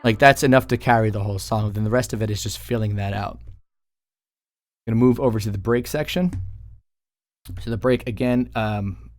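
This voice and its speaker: Low-voiced man explaining music production. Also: moderate pace, pauses often. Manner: informal, explanatory. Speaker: music producer presenting a track breakdown. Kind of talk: casual tutorial commentary.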